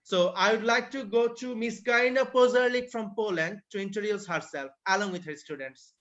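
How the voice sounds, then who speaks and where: Bright high-voiced male host, muffled call. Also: normal speed, a little hiss or hum. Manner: formal, polite. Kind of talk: moderating a video call. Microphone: video call. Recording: muffled video call audio.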